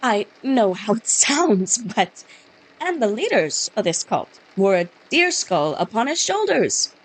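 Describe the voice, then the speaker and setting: A woman's voice: medium pitch, sing-song, slow, rough recording. A woman reading game dialogue as an earnest man who insists he is telling the truth, in a near-plain voice. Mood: insistent. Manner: insistent, pleading to be believed. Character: earnest young Englishman accused of murder.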